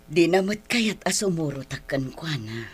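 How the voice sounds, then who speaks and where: Tired long-suffering ilocano mom in a radio drama, deep husky voice, faint music under it. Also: female voice, expressive, normal speed. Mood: resigned, weary. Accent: Filipino, Ilocano. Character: weary, long-suffering mother of a grown daughter.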